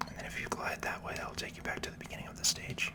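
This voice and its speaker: A person whispering casual, unhurried commentary for an ASMR video game playthrough, in a soft, breathy, unvoiced voice.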